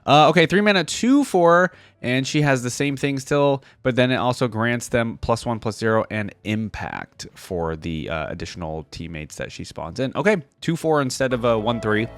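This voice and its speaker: A man's voice: mid-range voice, lively intonation, normal speed, hardly any pauses, dry recording. A young man giving casual reaction commentary as a video host, musing aloud in an informal way.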